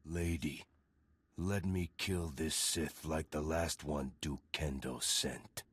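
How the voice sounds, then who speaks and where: Hulking slow-witted bodyguard, video game, menacing broken phrases, dragging pace, very rough husky tone. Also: male voice, very deep voice, fairly even intonation, a bit bright, no echo, full character voice. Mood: brutish menace. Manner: broken blunt speech, menacing. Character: hulking slow-witted male bodyguard champion, loyal, brutish.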